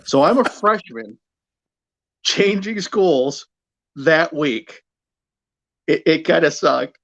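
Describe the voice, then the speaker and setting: A middle-aged American man, a sports broadcaster, telling his career story conversationally in a candid, self-deprecating and halting way. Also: on the high side, lively intonation, a bit bright. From Buffalo, New York area, United States. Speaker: sports radio play-by-play broadcaster, interview guest.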